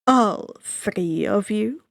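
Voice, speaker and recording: A woman reading a story aloud and voicing a suave, sinister male ferryman of the dead with a smooth, slow, drawn-out delivery. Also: mid-range voice, expressive, no room sound, studio quality, full character voice. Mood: dryly polite. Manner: slow, drawn-out, dryly polite.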